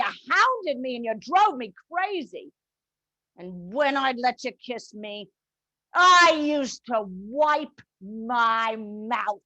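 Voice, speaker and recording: A woman's voice: high voice, a bit bright, dry recording, full character voice, poor audio. A woman voicing a vulgar, cruel young Cockney waitress who speaks in a sneering, spiteful way.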